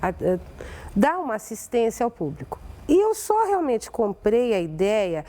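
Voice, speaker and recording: A woman's voice: a little high, wildly swooping pitch, moderate pace, dark tone. A woman with a Brazilian accent giving spontaneous interview testimony.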